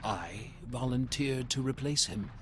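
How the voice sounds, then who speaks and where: An actor playing a solemn robot guardian in a video game, narrating a log in a grave, measured, dutiful manner. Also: male voice, medium pitch, expressive, natural voice. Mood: solemn resignation.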